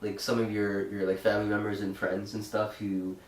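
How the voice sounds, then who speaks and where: A man's voice: lowish voice, level tone, a little fast, distant mic. Young Canadian man, vlog co-host, loose rambling delivery; echoey room, mic set back. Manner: chatty, rambling with fillers and restarts. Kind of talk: casual chat.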